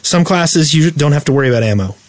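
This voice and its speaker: A man's voice: on the high side, animated, fast, no echo. A man co-hosting a casual gaming podcast, reading out facts in an explanatory way and adding his own asides. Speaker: podcast co-host.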